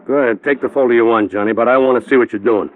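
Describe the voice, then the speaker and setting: Tinny old-radio sound: sardonic American male police lab man, played by an actor, grouchy, casual, wisecracking, mid-pitched. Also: rapid-fire, lightly acted. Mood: grumbling, reluctant. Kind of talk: 1950s radio detective drama. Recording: thin, phone-like old radio recording.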